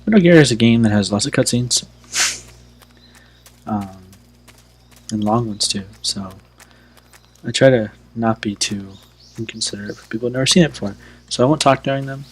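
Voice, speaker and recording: A man giving casual, unscripted live commentary on a game play-through, speaking in a hesitant, halting way. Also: medium pitch, husky, rough recording.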